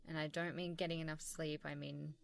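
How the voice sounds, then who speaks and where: Woman acting as supportive female boss, low voice, warm and easygoing, encouraging, casual conversational ASMR role-play. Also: little pitch movement, brisk, vocal fry. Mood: reassuring, encouraging. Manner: encouraging, casual, chuckling hesitantly between phrases. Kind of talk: ASMR role-play, softly conversational one-sided dialogue.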